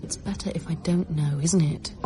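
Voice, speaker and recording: A woman's voice: low-pitched, fairly even intonation, normal speed, dark tone, lightly acted. An actress playing an anxious, defensive young woman violinist in a radio drama, earnest and hesitant.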